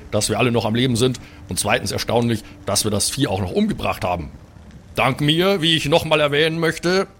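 A man's voice: lowish voice, a bit bright, slight background noise. A man improvising as a player in a tabletop role-playing game, talking casually and explaining things wordily. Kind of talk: improvised in-character talk and casual game chat.

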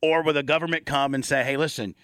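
Crude, rambling male radio host, bright voice. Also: on the high side, fairly even intonation, fast, clean tone, dry recording, clean studio sound. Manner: crude, rambling, repeats himself. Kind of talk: rowdy comedic banter.

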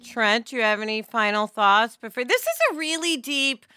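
A woman's voice: a little high, moderate pace, bright, forceful tone, smooth. A woman co-hosting a podcast in casual, reflective discussion, talking candidly with digressions and questions to herself. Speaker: podcast co-host.

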